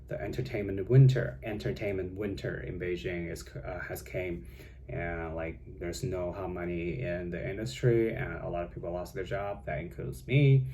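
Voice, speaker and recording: Deep, gravelly Chinese-accented young man. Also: fairly even intonation, normal speed, dark tone, slight background noise. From China. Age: young adult. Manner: rambling, many fillers and self-corrections. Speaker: vlogger. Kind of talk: casual vlog chat. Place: home with cats.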